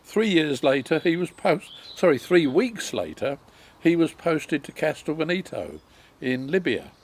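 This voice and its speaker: A man, recalling his life story in a halting way with many pauses and ums. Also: a little high, big pitch swings, a little hiss or hum. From England. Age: 90s. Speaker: interviewee.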